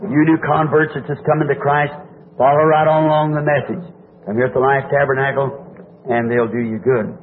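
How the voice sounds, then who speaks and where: An older man preaching to a congregation in a hoarse voice, folksy and rambling, asking the crowd questions. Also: medium pitch, flat delivery, a little fast. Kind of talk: sermon. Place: church meeting. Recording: tinny lo-fi old tape with faint hiss.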